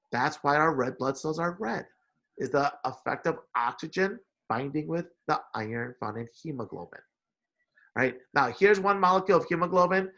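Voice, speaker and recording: Higher-voiced man giving an informal lecture. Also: a bit bright. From Indonesia, moved to America at eight. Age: 40s. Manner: repeatedly checks with "right?", repeats key phrases for emphasis. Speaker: lecturer, science instructor.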